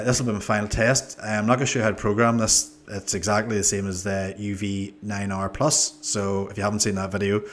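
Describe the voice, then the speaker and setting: A man in his forties with a Northern Irish accent giving a casual, low-key, hesitant product review into a desk microphone. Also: a little hiss or hum. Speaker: review channel host. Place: small indoor room. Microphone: desk microphone on a boom arm.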